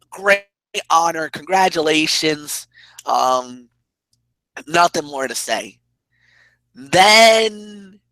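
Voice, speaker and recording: A man with a hoarse, raspy voice, co-hosting casual sports talk and rambling excitedly with restarts. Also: a little high, no room sound, poor audio. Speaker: co-host.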